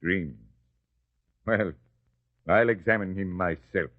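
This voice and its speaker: An actor playing a proud but uneasy Roman governor who speaks condescendingly, in an old-time radio drama. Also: male voice, deep voice, slow, dry recording. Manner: haughty and condescending, then hesitant. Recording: dry, dull lo-fi recording.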